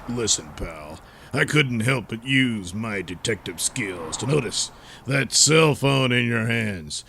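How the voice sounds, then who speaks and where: A man's voice: mid-range voice, creaky, bright, forceful tone, slight background noise, heavily acted. A man playing a pompous, petty superhero detective who grumbles and shifts blame in a comic audio drama.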